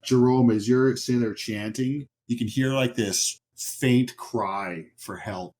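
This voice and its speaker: A man's voice: medium pitch, takes it slowly, darkish tone. A man working as game master, narrating a horror tabletop roleplaying game in a conversational, descriptive way. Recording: music behind the voice. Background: music.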